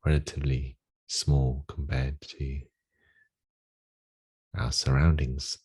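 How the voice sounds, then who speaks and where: A man with a soft, husky voice guides a meditation, giving gentle instructions with long pauses. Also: a bass voice, flat delivery.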